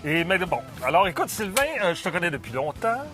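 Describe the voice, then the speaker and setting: Animated Québécois man, lively pitch. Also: fairly quick. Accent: Québécois French. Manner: laughs often, animated. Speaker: interviewer. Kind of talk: casual interview chat.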